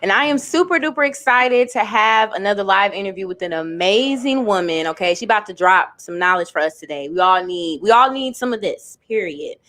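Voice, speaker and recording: Upbeat woman host, casual slang, lively swinging pitch. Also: fairly quick, few pauses. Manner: enthusiastic, slangy, playful. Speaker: podcast host. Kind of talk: casual interview chat.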